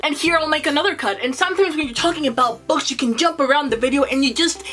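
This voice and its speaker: A woman's voice: high-pitched, bright, forceful tone. A young woman with a Spanish accent, a native Spanish speaker, giving earnest, encouraging advice in a vlog to the camera. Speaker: booktuber.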